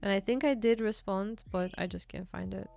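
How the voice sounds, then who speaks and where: A woman's voice: mid-range voice, vocal fry, darkish tone, faint music behind. A young woman from Bulgaria, chatting casually with her livestream viewers and answering chat. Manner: chatty, reads and answers chat, quick laughs. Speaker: streamer.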